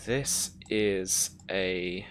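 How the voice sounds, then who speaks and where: A game master with a low voice, improvising narration for a tabletop roleplaying game. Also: extremely slow. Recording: faint background noise, keyboard clicking.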